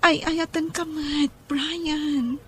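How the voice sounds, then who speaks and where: Woman doing a teenage girl, very high voice, slow and hesitant, amateur audio drama. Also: little pitch movement, fairly bright tone, a little hiss or hum, exaggerated voice. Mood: tender. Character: teenage girl Donna, sixteen, in love.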